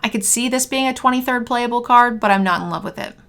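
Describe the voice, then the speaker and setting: Hoarse young woman hosting. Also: a little high, quick pace, fairly bright tone. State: hoarse, losing her voice. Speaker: host. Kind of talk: card game review chat. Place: home streaming room, indoors.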